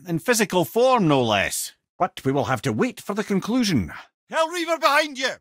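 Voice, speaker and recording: Animated Scottish sage Mimir, wry storyteller. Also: male voice, fairly high pitch, no room sound, clean studio sound. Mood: urgent warning. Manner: wry, eloquent, theatrical storyteller. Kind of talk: video game character dialogue and storytelling.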